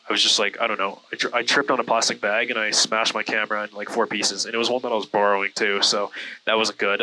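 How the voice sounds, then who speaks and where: A man's voice: fairly low pitch, bright, forceful tone, rough recording. A man telling stories casually in a rambling, self-deprecating way, with lots of "like". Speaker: guest, content creator. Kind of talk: casual storytelling chat. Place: small airplane cockpit in flight.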